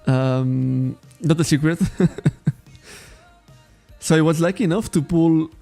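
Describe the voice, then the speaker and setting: A man with a Catalan accent talks loosely on a card shop livestream, often correcting himself and laughing. His voice sits a bit high, with a gravelly edge and a mellow, dark tone. Soft music plays faintly behind him. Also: slow pace. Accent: Catalan-accented English. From Spain. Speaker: trading card shop streamer. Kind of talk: casual livestream chat.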